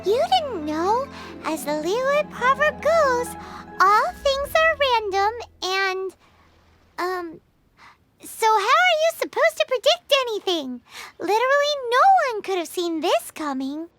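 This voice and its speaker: A tiny fairy sidekick, squeaky and extremely high, chattering expressively with lively swings in pitch. It is childlike video game acting, set over loud music. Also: female voice, slow pace, exaggerated voice. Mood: sympathetic, sheepish. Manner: speaks of self in third person, expressive.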